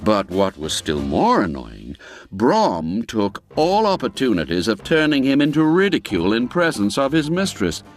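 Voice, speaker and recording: A man narrating a classic tale as an audiobook, in a theatrical, expressive, literary storytelling manner. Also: medium pitch, moderate pace, faint music behind.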